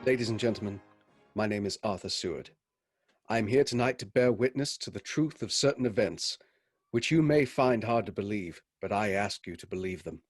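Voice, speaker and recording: An actor playing a solemn, formal gentleman witness addressing listeners in a radio play. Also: on the low side, moderate pace, soft background music, close to an everyday voice. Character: grave, formal gentleman witness presenting documents.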